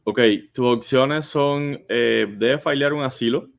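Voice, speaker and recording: A man's voice: medium pitch, fairly even intonation, slow, vocal fry. A man speaking as a guest immigration lawyer, giving plain-spoken, step-by-step legal advice on a live show.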